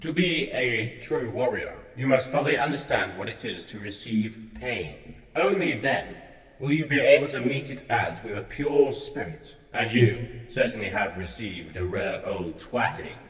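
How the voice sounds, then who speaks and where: Guy doing a solemn temple guardian, real slow n grand, big echoey reverb. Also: mid-range voice, expressive, fairly bright tone. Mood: portentous. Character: solemn, portentous male guardian of a crypt trial. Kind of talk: comic fantasy audio drama.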